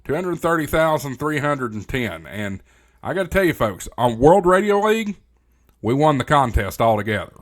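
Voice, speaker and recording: A man's voice: medium pitch, very animated, normal speed. A man hosting a ham radio channel, talking in a casual, folksy presenter style straight to his viewers.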